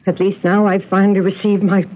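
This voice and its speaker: Actress as a genteel elderly spinster, tinny old radio. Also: female voice, mid-range voice, little pitch movement, brisk, a little hiss or hum, lightly acted. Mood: wistful, bitter. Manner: gracious, emotional storytelling. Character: elderly genteel spinster, hospitable yet haunted and bitter. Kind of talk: radio drama acting.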